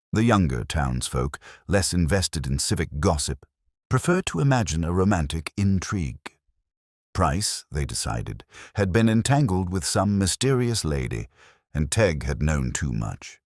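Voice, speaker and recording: Deep-voiced man narrating a mystery. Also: no echo, studio quality. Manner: measured, wry storytelling.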